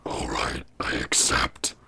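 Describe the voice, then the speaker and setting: An actor playing a brooding young masked vigilante in a comic radio play, speaking in a terse, whispery growl. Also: male voice, slow, pushed and bright, dry recording, studio quality. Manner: terse, reluctant.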